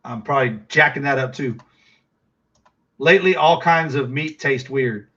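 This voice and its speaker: A man's voice: medium pitch, normal speed, a bit bright, lo-fi sound. A middle-aged man with a Texas accent, chatting casually with viewers on a livestream, rambling with lots of fillers and restarts.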